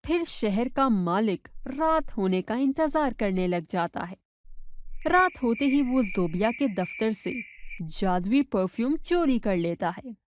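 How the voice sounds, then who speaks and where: A woman's voice: fairly high pitch, lively intonation, slow pace, slight background noise. A woman reading scripted storybook narration as the narrator.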